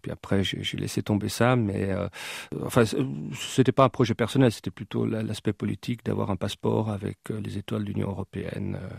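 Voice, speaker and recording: Un homme invité en entrevue, de langue maternelle allemande, qui répond en hésitant beaucoup, avec des mots répétés. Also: male voice, on the low side, fairly even intonation, fast, vocal fry, slightly rough, dry recording, clean studio sound. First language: German. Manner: hesitant, many fillers and repeated words. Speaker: interview guest. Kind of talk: reflective interview answers.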